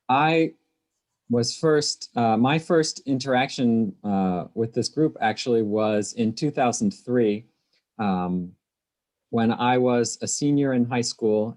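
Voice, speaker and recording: A man's voice: medium pitch, darkish tone. A man in his thirties from Pittsburgh introducing a guest on a webinar, thoughtful and full of fillers, on a video call. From Pittsburgh, USA. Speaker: moderator, quartet member.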